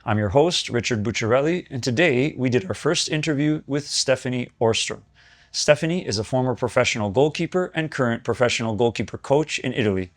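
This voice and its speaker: A man's voice: mid-range voice, fairly quick. A man hosting an interview podcast, speaking in a friendly, hesitant way with many ums and restarts. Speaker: podcast host.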